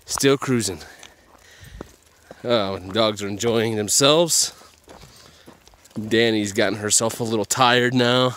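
A man narrating casually while hiking a pine forest trail with his dogs, chatty and relaxed, recorded outdoors on a handheld camera. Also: on the low side, slow, long pauses, slight background noise. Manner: laid-back, chatty, talks to his dogs. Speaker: hiking vlogger.

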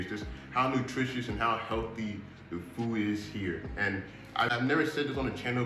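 A young man from Houston, Texas, speaking in a relaxed, earnest way straight to camera in a casual vlog, calling his viewers y'all. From Houston, Texas, United States. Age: young adult. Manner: relaxed and earnest, addressing viewers as y'all, gets animated with rising pitch. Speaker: vlogger. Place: indoor room with a fan running, seated on a sofa. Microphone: built-in camera mic at a short distance. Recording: echoey room, faint fan hum.

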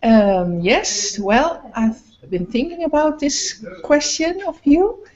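A middle-aged woman painter speaking slowly and hesitantly about her paintings, with many pauses, on a video call. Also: high voice, darkish tone. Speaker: painter guest. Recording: muffled video call audio.

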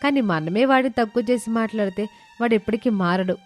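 A woman voicing a gentle, supportive village mother in a moral story, persuading on her son's behalf. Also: on the high side, quick pace, soft background music, natural voice. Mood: reassuring.

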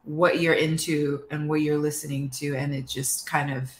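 A young woman, a guest musician, chatting casually and hesitantly about mental health, with many pauses and restarts. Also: low voice, level tone, reverberant. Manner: soft-spoken, hesitant, many fillers and restarts. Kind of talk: casual chat. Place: living room at home.

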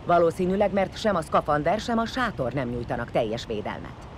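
An actor in an audio drama playing a vengeful, bloodthirsty warrior leader who sneers, swears and barks orders. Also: male voice, on the high side, very animated, slight background noise. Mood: curt, irritated. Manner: sneering, foul-mouthed, barking orders.

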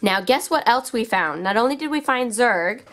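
A woman's voice: fairly high pitch, expressive, moderate pace, a bit bright. A woman hosting a casual toy showcase for children, speaking enthusiastically in simple phrases. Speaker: children's toy channel host.

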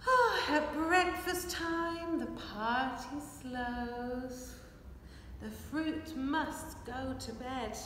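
A woman in her thirties reading a children's picture book aloud in an expressive, rhythmic, measured way. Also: high-pitched. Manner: expressive, measured rhythmic delivery while signing. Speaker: children's storyteller. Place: large hard-walled hall with brick and plaster. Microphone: camera a few metres away. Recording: echoey hall, distant microphone, faint noise.

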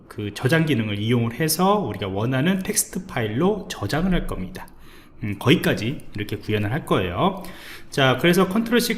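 A man teaching a programming lesson over a screen recording, explaining step by step and often pausing with fillers. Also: mid-range voice. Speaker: programming instructor. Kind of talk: screencast coding tutorial.

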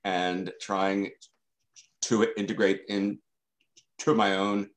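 Slow-talking man who stutters. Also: fairly low pitch, little pitch movement. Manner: stutters, with repeated sounds and fillers. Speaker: guest, journalist. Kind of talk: video call interview. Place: home room.

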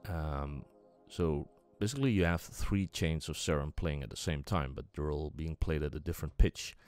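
A man presenting a casual music software tutorial, explaining step by step in an unscripted, halting way. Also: very deep voice, clear, resonant tone.

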